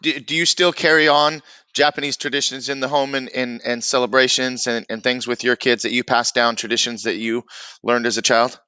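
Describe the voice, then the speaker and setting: A man's voice: mid-range voice, steady tone, brisk, pushed and bright, clean tone. A man hosting a motivational podcast interview, asking questions and giving short attentive replies. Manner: attentive, brief affirming interjections. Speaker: podcast host.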